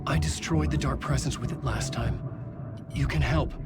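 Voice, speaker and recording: A haunted male writer, played by an actor in a dramatic video game. He sounds desperate and urgent, with loud music running under his mid-pitched voice. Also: moderate pace, lightly acted. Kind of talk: dramatic game cutscene dialogue and brooding narration.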